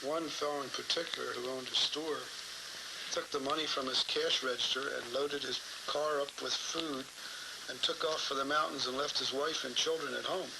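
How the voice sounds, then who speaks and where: A man with a creaky, gravelly voice tells a story casually, as in an interview. It is an old location recording with clear noise. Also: mid-range voice, steady tone, moderate pace. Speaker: radio listener telling an anecdote. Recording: noisy old location film sound.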